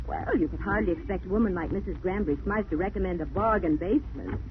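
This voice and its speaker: An actress playing a fussy, determined young society lady in a 1940s radio drama, with a crisp, chiding manner. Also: lowish voice, fast, lightly acted. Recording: tinny, noisy vintage radio recording.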